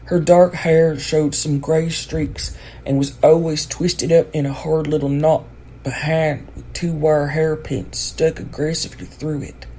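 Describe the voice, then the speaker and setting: A woman's voice: deep voice, flat delivery, measured pace, warm tone, slight background noise, poor audio. A woman reading a classic storybook aloud as scripted audiobook narration. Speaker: audiobook reader.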